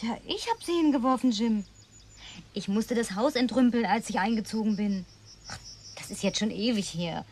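An actress as a wry, high-voiced city woman. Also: moderate pace, a little mellow, a little hiss or hum, close to an everyday voice. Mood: defensive. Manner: teasing, cool, conversational. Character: worldly, wry, indulgent city woman who drinks. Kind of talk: naturalistic German radio play dialogue.

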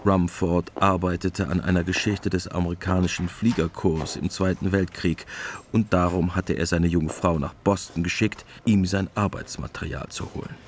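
Low-voiced man narrating a radio play. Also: fairly even intonation, moderate pace, a little hiss or hum. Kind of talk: scripted literary radio drama narration.

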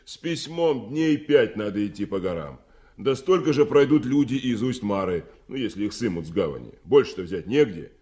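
An actor playing a determined veteran timber rafter, blunt and folksy, in a Soviet radio drama. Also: male voice, fairly low pitch, expressive, moderate pace, mellow, lightly acted. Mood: firm, practical.

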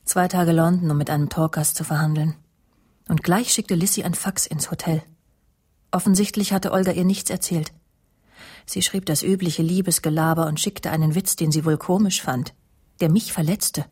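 An actress playing a proud, easily wounded, sharp-tongued career woman who narrates a radio crime drama in a cool, clipped way. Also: low voice, fairly even intonation, normal speed, husky. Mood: brooding, self-assured. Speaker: first-person narrator and lead of a radio drama. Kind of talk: radio crime drama acting with inner monologue.

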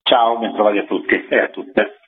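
Low-voiced man on a phone line. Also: steady tone, normal speed. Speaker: event presenter, workshop leader, interview guest. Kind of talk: explanatory radio interview. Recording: narrow phone line on radio.

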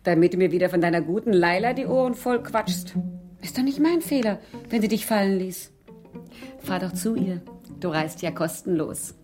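A woman's voice: medium pitch, lively intonation, moderate pace, music in the background, close to an everyday voice. An actress playing a contemptuous, hard-edged woman in a German radio crime drama, curt and scornful. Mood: weary contempt.

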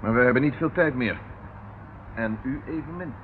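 Actor as a dry police inspector, muffled recording. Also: male voice, medium pitch, close to an everyday voice. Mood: firm, composed. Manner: dry, sardonic, measured. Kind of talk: Dutch radio play acting. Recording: muffled, hissy old radio recording.

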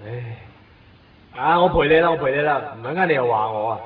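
An actor playing a flippant, grumbling young boyfriend in a radio drama, offhand and slangy, with a Hong Kong Cantonese accent. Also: male voice, medium pitch, animated, quick pace, close to an everyday voice. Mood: grumbling, yielding. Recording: distant, muffled 1990s radio drama recording.

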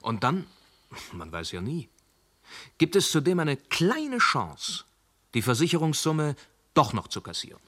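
A shrewd, harsh inspector. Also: male voice, fairly high pitch, wildly swooping pitch, measured pace, pauses often, pushed and bright, heavily acted. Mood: reasoning. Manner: probing, turns harsh and scolding. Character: shrewd, hard-pressing male police inspector. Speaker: police inspector. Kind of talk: radio drama acting.

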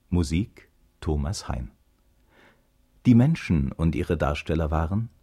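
Male radio announcer for formal credits: low, dark, husky, measured delivery with varied intonation. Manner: measured, list-like reading.

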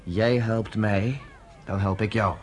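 A man's voice: fairly low pitch, steady tone, takes it slowly, slight background noise. An actor playing a firm, shrewd, commanding male leader in a radio drama. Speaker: underground organizer.

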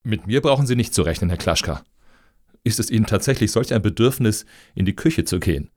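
An actor playing a sardonic, plain-spoken applicant in a satirical radio play, speaking in a wry, pointed way. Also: male voice, lowish voice, expressive, fairly quick, darkish tone. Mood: matter-of-fact.

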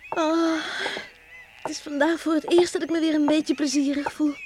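A woman's voice: high-pitched, flat delivery, moderate pace, background noise, natural voice. An actress playing a young farm girl in a Dutch radio play, cheerful, chatty and wistful. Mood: wistful, musing. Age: young adult.

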